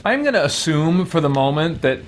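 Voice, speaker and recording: A man's voice: a little high, very animated, a little fast. A man giving casual let's play commentary, thinking aloud with playful exasperation. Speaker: gaming channel host. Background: game music behind the voice.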